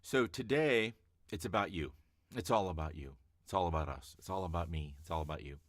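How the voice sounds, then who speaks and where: A man coaching voice-over hopefuls in a relaxed, chatty teaching talk with a calm, easy-to-listen-to voice. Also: low-pitched, brisk, no echo, studio quality. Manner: relaxed and chatty, with fillers and restarts. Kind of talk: casual teaching vlog.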